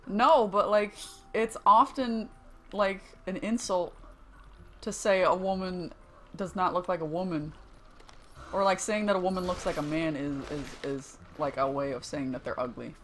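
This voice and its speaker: Young woman streamer, low voice, rambling self-deprecating chat, faint room hum. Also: fairly even intonation, a little slow. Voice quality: low-pitched voice. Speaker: streamer playing a game. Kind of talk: casual gaming chat.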